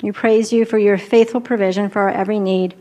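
A woman's voice: medium pitch, steady tone, fast, warm tone. A woman announcing the ways to give at a church service and then leading a short offering prayer. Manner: plain, practical, then prayerful.

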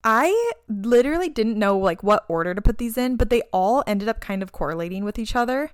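A woman's voice: a little high, expressive, fairly quick, clear, resonant tone, dry recording, studio quality. Chatty upbeat woman in her twenties. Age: 20s. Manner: chatty, upbeat, many "like" fillers. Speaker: podcast co-host. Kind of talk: casual chat.

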